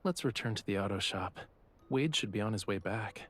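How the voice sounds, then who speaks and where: An actor playing a self-assured yet quietly vulnerable young artist in a romance game, speaking softly and intimately with a teasing touch. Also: male voice, fairly low pitch, fairly quick, lightly acted. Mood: gentle, coaxing.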